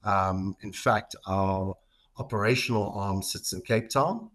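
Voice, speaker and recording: A man's voice: fairly low pitch, measured pace, a bit bright. A middle-aged man with a South African accent, answering questions in a conversational way as a podcast guest. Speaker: podcast guest, marketing executive.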